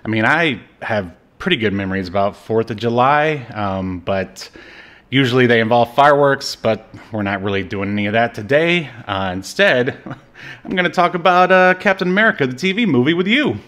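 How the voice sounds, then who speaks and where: A man hosting a podcast in casual, joking banter, with a halting delivery. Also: mid-range voice, expressive, a bit bright. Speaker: podcast host.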